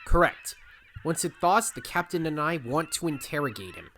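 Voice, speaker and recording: Man voicing a bright, earnest raccoon hero. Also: a little high, lively intonation, moderate pace, a little hiss or hum. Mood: calm. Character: young heroic raccoon adventurer, earnest and quick to react. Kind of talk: audio drama acting.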